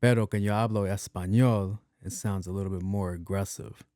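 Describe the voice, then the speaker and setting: A young man hosting a podcast in a soft voice, rambling casually with plenty of cursing and restarts. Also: steady tone, a little fast, dry recording, studio quality. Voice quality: soft, not deep. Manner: loose and profane, with many restarts and repeats. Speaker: podcast host.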